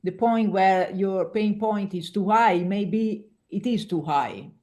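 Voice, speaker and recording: An Italian woman who speaks English as a second language, with a clear Italian accent and a voice of ordinary pitch for a woman. She talks with animated energy as a coaching guest, and she keeps restarting her words as she reaches for the right English phrase. Her speech is conversational, in the loose manner of a casual interview. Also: slow pace. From Veneto, Italy.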